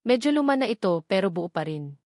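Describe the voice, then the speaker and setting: A woman voicing a tender father who speaks gently and earnestly to his son in an audiobook love story. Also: medium pitch, big pitch swings, brisk, no room sound, clean studio sound. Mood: tender, nostalgic. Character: tender adult father sharing memories with his young son.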